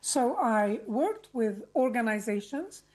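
High-voiced, articulate woman from Lebanon. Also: lively intonation, slow pace, a little mellow. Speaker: interview guest, activist speaker. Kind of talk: personal account in an interview.

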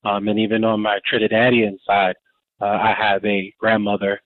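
A man's voice: fairly low pitch, level tone, normal speed, dry recording. A man in his fifties talks casually as a community radio host, rambling along with frequent fillers and restarts. His voice comes through a narrow, phone-like band that thins the sound. The recording is dry and close. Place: Baltimore, Maryland.

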